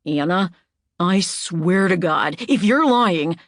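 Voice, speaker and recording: A woman narrator playing an angry, menacing man who speaks in forceful, profane demands. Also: sits high, expressive, slow, dry recording, studio quality, exaggerated voice. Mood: threatening.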